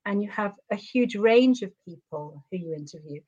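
A woman's voice: mid-range voice, lively intonation, normal speed, a little mellow, highs cut off. Chatty woman with a London accent. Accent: London English. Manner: earnest, chatty, rambling. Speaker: guest. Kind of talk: casual interview chat over a call.

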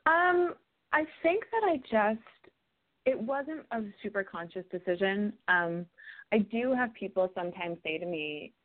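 A woman's voice: medium pitch, lively intonation, a little slow. A woman singer-songwriter from New York City, giving thoughtful, rambling answers in a radio interview. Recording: tinny phone-like line.

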